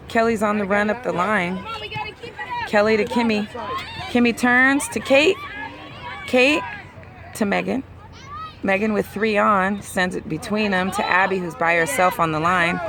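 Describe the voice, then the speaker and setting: Hoarse woman calling a youth soccer game. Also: mid-range voice. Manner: rapid play-by-play, rising excitedly at key plays. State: losing her voice. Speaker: amateur sideline commentator. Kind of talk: play-by-play commentary. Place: outdoors at a soccer field. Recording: outdoor crowd and traffic noise.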